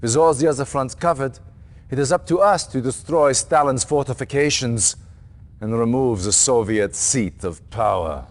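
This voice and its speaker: An actor playing a stern, resolute military general in a game cutscene, giving orders with formal, clipped authority. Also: male voice, medium pitch, normal speed, lightly acted. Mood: rousing, resolute. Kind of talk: dramatic video game cutscene acting. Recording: long hall-like reverb.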